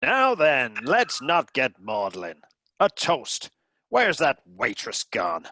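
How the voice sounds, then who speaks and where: An actor playing a blustering, sarcastic, bullying rich American husband in a comedy radio play. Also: male voice, medium pitch, huge pitch swings, pushed and bright, no room sound, clean studio sound, full character voice. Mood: irritated. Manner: brash, mocking, quick to threaten.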